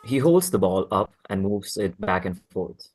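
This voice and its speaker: A man's voice: deep voice, big pitch swings, moderate pace, a little mellow. A man narrating a script at a table read, reading out stage directions.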